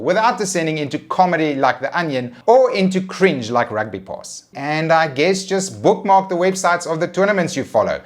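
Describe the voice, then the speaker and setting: A young man talks through his favorite online rugby spots in a scripted, wry voice-over. His voice sits a bit high and moves around a lot, with deadpan asides. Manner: wry, dry humor. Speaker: rugby content creator. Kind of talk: scripted video commentary.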